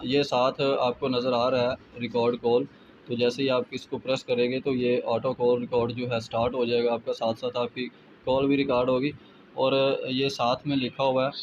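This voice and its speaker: Pakistani man, flat delivery, muffled noisy recording. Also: medium pitch. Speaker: tech tutorial YouTuber. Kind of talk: casual app tutorial voice-over addressed to friends. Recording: muffled lo-fi with clear background noise.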